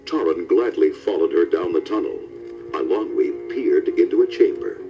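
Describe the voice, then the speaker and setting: Low, animated male storybook narrator. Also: moderate pace, highs cut off, loud music behind the voice.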